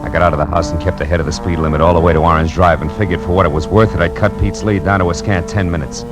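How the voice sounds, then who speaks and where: A man's voice: deep voice, steady tone, very fast, lightly acted. An American man playing a tough, wisecracking private detective in an old radio crime drama, speaking in clipped slang. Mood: tense narrating. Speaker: radio drama lead actor and narrator. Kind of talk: old-time radio crime drama, first-person narration. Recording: old radio recording, loud music behind.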